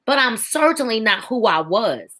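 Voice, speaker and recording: A woman's voice: on the high side, sing-song, normal speed, pushed and bright. A woman in her forties giving a faith testimony as a podcast guest, emphatic, with a preaching rhythm and repeated phrases. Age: 40s.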